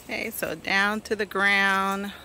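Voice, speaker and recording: A woman's voice: medium pitch, little pitch movement, slow, bright, forceful tone, a little hiss or hum, low-quality recording. A woman in her forties giving a casual, chatty tour of her backyard garden on a phone held at arm's length, laughing often. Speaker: vlogger.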